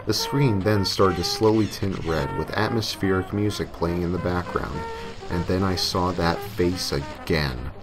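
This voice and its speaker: A man's voice: deep voice, fairly even intonation, measured pace. A man reading a scripted first-person creepypasta story as its narrator. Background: music.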